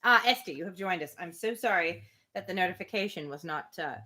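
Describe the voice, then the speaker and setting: A woman's voice: on the low side, lively intonation, fairly quick, sharp tone. A woman hosting a live novel reading, moving between read-aloud prose and playful, conversational commentary.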